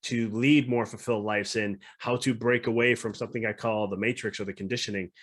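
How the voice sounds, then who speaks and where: A man's voice: on the low side, fairly even intonation, brisk, fairly bright tone. A man guesting on a podcast as a mindset coach, reflective and earnest in casual conversation. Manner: reflective and earnest, talking through ideas.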